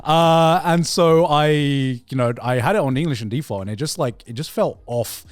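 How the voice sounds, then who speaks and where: A man co-hosting a podcast, chatting casually and haltingly, repeating words as he goes. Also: on the high side, dry recording, studio quality. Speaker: podcast co-host.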